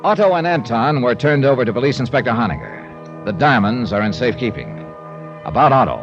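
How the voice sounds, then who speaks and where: Golden-age radio lead: tough, wry American investigator, animated delivery, confident and clipped, muffled vintage sound. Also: male voice, medium pitch, a little fast. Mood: dry, wry. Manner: punchy, hard-boiled, sardonic. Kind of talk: 1950s radio acting and first-person narration. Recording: dull old radio recording with music.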